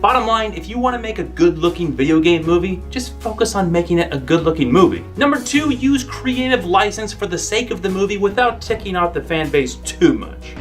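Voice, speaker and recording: Web show host: young American man, higher-voiced, punchy and emphatic video essay read over light background music. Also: expressive, fairly quick, few pauses. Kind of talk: scripted video essay commentary. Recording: music playing behind the voice.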